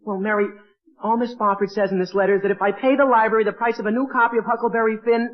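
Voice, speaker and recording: An actor playing an awkward, excitable teenage boy in an old radio comedy, hesitant and stammering. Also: sits high, very fast, natural voice. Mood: exasperated. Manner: hesitant, stammering, exclaiming "gee whiz". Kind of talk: 1940s radio comedy acting. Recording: dull, band-limited old radio recording.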